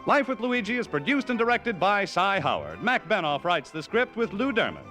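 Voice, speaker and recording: Old-time radio announcer guy, polished and emphatic, lively swooping voice, music under him. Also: sits high, normal speed. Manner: smooth, polished, emphatic delivery. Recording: vintage radio broadcast with music.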